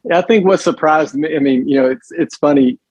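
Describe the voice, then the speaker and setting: A man with a loud voice and a Southern US accent, talking emphatically in an interview with many restarts. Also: a little high, a little fast, no echo. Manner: emphatic, frequent restarts and fillers. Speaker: guest, pregnancy center director. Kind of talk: conversational interview.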